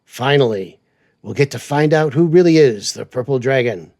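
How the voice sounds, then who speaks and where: A man's voice: medium pitch, expressive, normal speed, a little mellow. A man hosting a semi-scripted trucking show in a playful, upbeat manner. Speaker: show host in trucking persona.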